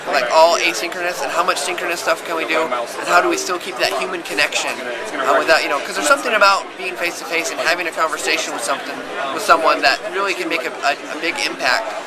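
A man in his thirties, an exhibitor, answering vox pop questions eagerly and fluently, filmed close on a camera in an exhibition hall. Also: a little high. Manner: fluent, eager, lots of "you know". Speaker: exhibitor at a trade show. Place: busy exhibition hall stand. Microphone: camera held close. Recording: loud crowd and music behind.

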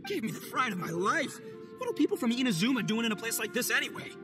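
A man voicing a loud, boastful and goofy young male oni gang boss in a game cutscene. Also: extremely high pitch, sing-song, quick pace, music in the background. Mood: swaggering. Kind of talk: video game cutscene voice acting.

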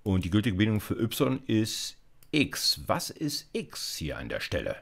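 A man's voice: on the low side, wildly swooping pitch, measured pace, gravelly, fairly bright tone, husky. A man in his fifties giving an informal lecture with a live demo, talking colloquially and directly to his students with frequent check-in tags. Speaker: university lecturer.

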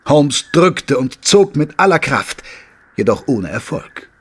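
An actor playing an observant gentleman who narrates an audio drama in a measured, literary manner. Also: mid-range voice, big pitch swings, breathy edge, exaggerated voice. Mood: measured, admiring. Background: music.

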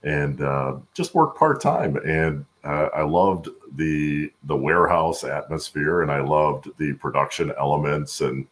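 Very deep, gravelly American man. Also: measured pace, few pauses. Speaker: guest, supply chain executive. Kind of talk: conversational interview answers. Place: room at home on a video call.

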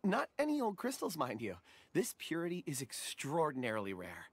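An actor playing a gentle, polite young scholar with a soft, courteous voice. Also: male voice, fairly high pitch, huge pitch swings, moderate pace, husky, no echo, full character voice. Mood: warm. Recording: game audio with faint ambience.

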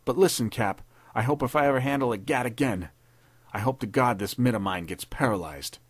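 A man's voice: mid-range voice, a little fast, slightly rough, no room sound, voice pushed up, natural voice. A man voicing a frightened young private, an ex-gangster who pleads and whines in street slang. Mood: pleading. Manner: whining, pleading, slangy, stammering.

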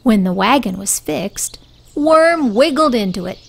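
A woman reading a picture book aloud for young children in a deliberate, expressive storytelling style. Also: a little high, creaky.

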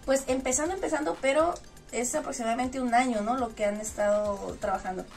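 A woman's voice: on the high side, fairly quick, gravelly. A woman in her thirties with a northern Mexican accent, hosting a casual, chatty interview. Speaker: interviewer and host. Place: small indoor lounge room in a club. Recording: distant mic, music in background.

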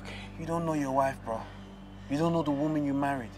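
A man playing an angry, protective brother who scolds and shouts. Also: on the high side, steady tone, fast, background noise, close to an everyday voice. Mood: scornful. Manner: scornful and shouting.

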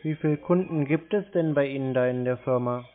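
A man's voice: mid-range voice, brisk. A man talking on the phone as a prank caller posing as a customer, hesitant and rambling with a feigned naivety. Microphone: telephone. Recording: muffled narrow phone line.

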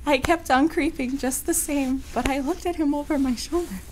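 A woman's voice: sits high, moderate pace, a little hiss or hum, lightly acted. A woman playing an unhinged, obsessive woman confined to a room, speaking a confiding, theatrical monologue in an audio drama. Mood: eerily gentle.

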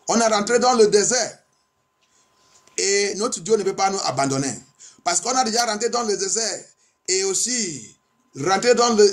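Male pastor, higher-pitched voice, declamatory and repetitive, delivering insistent prayer and sermon. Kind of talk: bilingual sermon and fervent prayer.

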